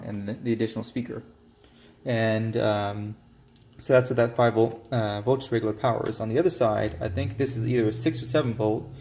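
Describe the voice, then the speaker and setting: An American man giving a casual DIY demonstration of a hobby project, speaking in a hesitant, halting, informal way. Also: lowish voice, vocal fry, a little mellow. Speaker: hobbyist maker presenting a project.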